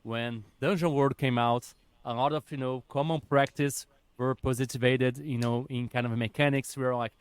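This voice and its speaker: A man hosting a casual podcast conversation, animated and chatty, with lots of "you know" fillers and occasional swearing. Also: mid-range voice, moderate pace, few pauses, clean tone, dry recording. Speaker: podcast host.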